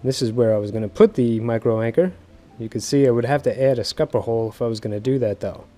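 A man's voice: medium pitch, quick pace, dark tone, faint music behind. Easygoing man narrating a boat project. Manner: easygoing, chuckles at himself. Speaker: fishing video host. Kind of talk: casual how-to narration. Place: on a docked boat outdoors.

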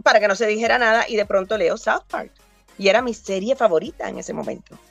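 A Cuban-born woman in her fifties, a voice actor, telling stories in an animated interview over a video call. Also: medium pitch, fast, gravelly, no room sound, soft background music. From Cuba. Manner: animated, gestures a lot while talking. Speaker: voice actor guest. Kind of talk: remote interview, storytelling.

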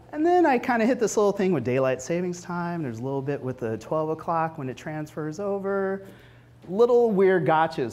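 Higher-voiced man giving an informal tech talk. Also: darkish tone. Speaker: presenter at a tech meetup. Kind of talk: informal technical presentation with demos. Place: meetup room, standing before a small audience.

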